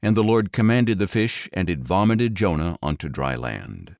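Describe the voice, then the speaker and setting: A man reading scripture as an audiobook narrator in a measured, solemn, dramatic storytelling style. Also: low-pitched, animated, vocal fry, a little mellow, dry recording, clean studio sound.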